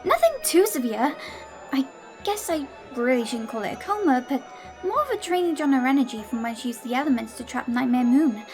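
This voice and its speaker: Young pony traveler, earnest n polite, really high and animated, audio drama with music under. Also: female voice, a little fast. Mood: worried, explaining. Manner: polite, eager, emotional.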